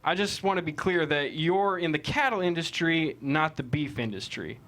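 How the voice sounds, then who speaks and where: Man playing pointed defense attorney, higher voice. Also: moderate pace, a bit bright, close to an everyday voice. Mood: probing. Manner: pointed, methodical questioning. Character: probing, argumentative male defense attorney. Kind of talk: scripted table read of courtroom drama.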